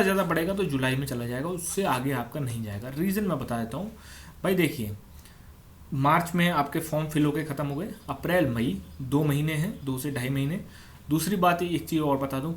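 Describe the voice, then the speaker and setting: A man giving an informal explainer as an exam coach, conversational and repeating points for emphasis. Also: a little high. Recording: noticeable background noise.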